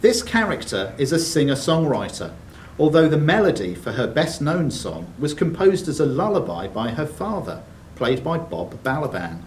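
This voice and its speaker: A man's voice: on the high side, big pitch swings, normal speed, hardly any pauses, a little mellow. A man reading out quiz questions as quizmaster in a club room, with brief banter between scripted questions. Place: sports and social club room. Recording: distant room mic, faint background noise.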